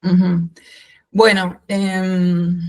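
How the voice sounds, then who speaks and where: A woman in her thirties with a mixed Uruguayan and Spanish accent, speaking reflectively and haltingly as a guest in an informal interview. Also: fairly low pitch, level tone, a little mellow, poor audio. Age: 30s. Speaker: podcast guest. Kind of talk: informal interview, personal account.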